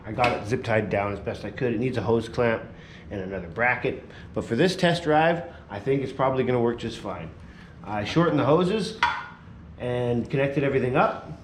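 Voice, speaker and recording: Guy talking thru his garage build, kinda hoarse, echoey shop, bit of hiss. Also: medium pitch. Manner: relaxed, mutters quietly while working. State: losing his voice a little. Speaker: vlogger working on a small motor vehicle. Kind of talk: casual workshop narration.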